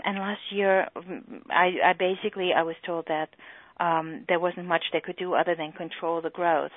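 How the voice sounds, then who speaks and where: A middle-aged woman with a German accent, speaking earnestly on a recorded group call and often pausing with fillers. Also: on the low side, no room sound. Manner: earnest, halting with many fillers. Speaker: EFT practitioner and trainer. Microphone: phone or call line. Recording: tinny phone-line call recording.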